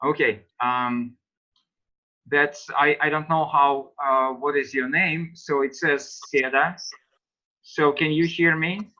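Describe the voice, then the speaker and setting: A man teaching English online, asking students slow, patient questions and explaining grammar. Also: on the high side, fairly even intonation, sharp tone, dull audio.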